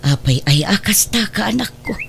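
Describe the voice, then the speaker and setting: A woman's voice: medium pitch, expressive, moderate pace, gravelly, slight background noise. A woman acting an exasperated but fond older mother in an audio drama, with an Ilocano Filipino accent. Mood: chiding, consoling.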